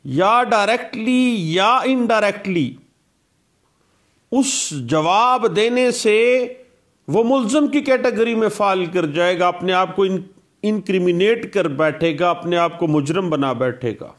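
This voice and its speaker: A man giving a law lecture, explaining a statute slowly and deliberately and repeating key points with English legal terms mixed in. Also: sits high.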